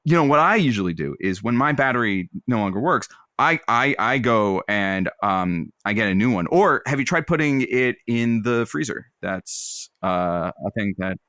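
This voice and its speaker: A man's voice: fairly low pitch, animated, sharp tone, no echo, studio quality. An actor playing an eager, easily flustered stand-in radio host who bluffs his way along in a comedy audio drama. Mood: flustered bluffing. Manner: flustered, halting, eager to seem capable.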